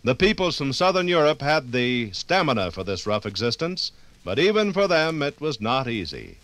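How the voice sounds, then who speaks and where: A man narrating a scripted 1950s radio documentary in a formal, dramatic, measured way. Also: medium pitch, very animated, a bit bright, no room sound.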